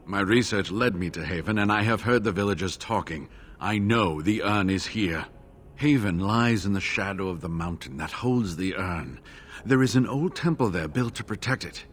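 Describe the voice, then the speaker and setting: A man playing an injured, earnest scholarly monk in a video game, speaking in a halting, expressive way. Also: lowish voice, husky. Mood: urgent, determined. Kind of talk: dramatic video game dialogue.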